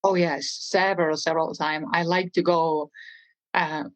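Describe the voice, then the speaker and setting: Middle-aged woman, Estonian accent, low-pitched voice, conversational interview guest, dry close sound. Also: steady tone, measured pace, no echo. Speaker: interview guest, real estate trainer.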